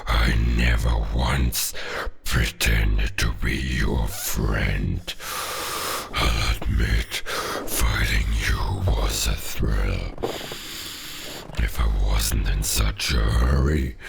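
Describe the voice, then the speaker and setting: An actor playing a proud, menacing werewolf king in an audio drama, speaking in a halting, grandiose, formal growl. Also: slight background noise, heavily acted. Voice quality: very deep, gravelly growl. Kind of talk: cinematic audio roleplay acting.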